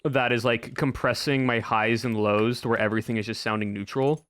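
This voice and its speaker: A man talks casually to his stream chat through a home streaming microphone. His delivery is somewhat monotone and flat, and he rambles and restarts his sentences as he goes. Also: mid-range voice, a little fast. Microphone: home streaming microphone with a filter.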